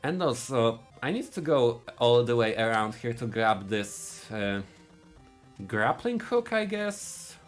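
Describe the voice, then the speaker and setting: A man from Poland with a Polish accent, giving live speedrun commentary in a halting way, often restarting his sentences. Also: mid-range voice, big pitch swings, soft background music. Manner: halting, searching for words, frequent restarts.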